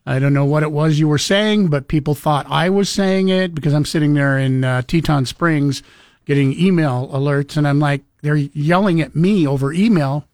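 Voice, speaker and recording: A man's voice: fairly high pitch, normal speed, a little mellow, dry recording, clean studio sound. A man co-hosting a casual morning radio show, chatty and storytelling, laughing often. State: recovering from lost voice.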